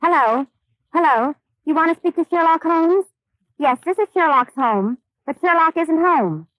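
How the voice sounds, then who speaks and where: High-pitched cheeky housemaid. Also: female voice, lively intonation, normal speed, a bit bright, no echo, poor audio. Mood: matter-of-fact, cheeky. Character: cheeky, wisecracking housemaid of a detective. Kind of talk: 1930s radio comedy acting.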